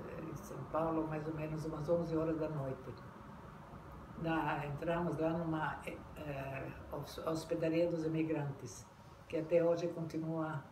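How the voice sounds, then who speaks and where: Older woman recalling, halting, echoey. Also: low voice. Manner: halting, many restarts and repeated words. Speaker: interviewee. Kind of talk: oral history recollection. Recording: echoey room, mic far off, noisy.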